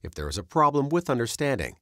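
A man reading scripted instructional narration as a training presenter, in a measured, instructive manner. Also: medium pitch, very animated, a bit bright, no room sound, clean studio sound.

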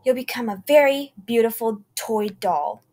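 A woman voicing a menacing male toy maker who turns from calm to raving and growls his threats. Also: on the high side, moderate pace. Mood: cold.

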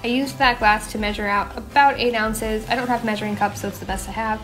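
A young woman hosting her own home cooking show, talking through each step in a relaxed, chatty way with small asides. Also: a little high, fairly quick, faint music behind, poor audio. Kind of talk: casual cooking voice-over. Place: home kitchen.

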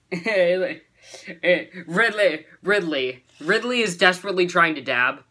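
A young teenage boy rambles excitedly through a toy unboxing, his voice lively and bright. He is recorded on a webcam in his bedroom. A faint hiss sits behind him. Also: sits high, unhurried. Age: young teen. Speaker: channel host. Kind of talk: casual unboxing chat. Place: bedroom, indoors.

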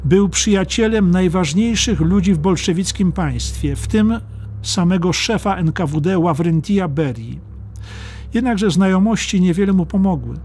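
A man narrating a historical audiobook from a script in a deliberate, dramatic storytelling way. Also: high-pitched, lively intonation, darkish tone, slight background noise.

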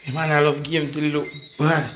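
A young Jamaican man hosts a casual livestream with a slightly high-pitched voice. He is recorded on a handheld phone indoors, with clear background noise. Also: level tone, a little fast. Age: young adult. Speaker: livestream host. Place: home kitchen and bedroom, indoors. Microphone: phone held at arm's length.

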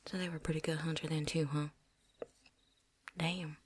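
A woman's voice: deep voice, level tone, a little hiss or hum, natural voice. A woman playing a chatty, cocky, seasoned vampire hunter in a whispered ASMR roleplay, rambling folksily. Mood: sympathetic, frank. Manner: folksy, rambling, with drawn-out asides.

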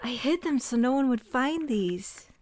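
An actress voicing a quiet, stubborn young woman who dabbles in magic, in an audio drama, speaking plainly and earnestly. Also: sits high, lively intonation, a little slow. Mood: amazed. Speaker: shop heir and cousin.

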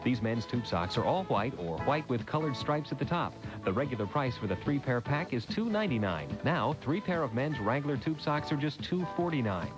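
Lively male retail ad announcer. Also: medium pitch, moderate pace, few pauses, low-quality recording. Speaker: commercial announcer. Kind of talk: 1980s retail radio commercial, scripted read. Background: music.

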